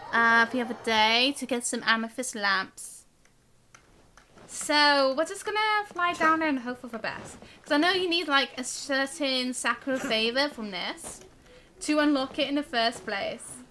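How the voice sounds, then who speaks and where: A woman's voice: high voice, bright, forceful tone, a little hiss or hum. A young woman chatting casually on a live game stream in a rambling, giggly way. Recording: game music faintly behind.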